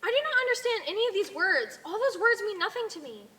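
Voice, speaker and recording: A woman's voice: extremely high pitch, sing-song, quick pace, pushed and bright, full character voice. A woman on stage playing a naive, obedient cult follower who speaks in formal, puzzled phrases. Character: naive, obedient member of a blue cult people, easily confused. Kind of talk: stage play acting. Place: theatre stage. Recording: echoey stage hall recording.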